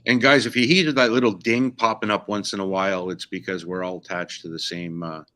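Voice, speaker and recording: Very deep-voiced male co-host. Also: fairly even intonation, fairly quick, sharp tone. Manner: brief interjections, joking. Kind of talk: casual webinar chat. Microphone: video call.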